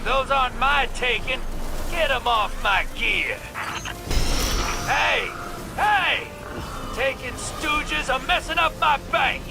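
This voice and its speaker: A man's voice: extremely high pitch, exaggerated voice. An actor playing a cocky, folksy, wisecracking rogue gunslinger in a video game, with a slangy, teasing showman's delivery. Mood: rowdy, excited. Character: cocky, roguish gunslinging hustler, long-lived, folksy and wisecracking. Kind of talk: animated video game acting. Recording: music behind the voice.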